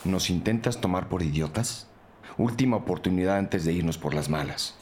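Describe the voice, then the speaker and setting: An actor playing a stern, intimidating police officer in a crime audio drama. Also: male voice, low-pitched, normal speed, darkish tone, a little hiss or hum, natural voice. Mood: threatening. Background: music bed.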